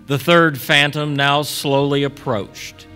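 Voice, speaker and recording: A man narrating a ghost story for radio drama as a solemn storyteller, measured and dramatic. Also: on the high side, little pitch movement, bright, forceful tone, faint music behind.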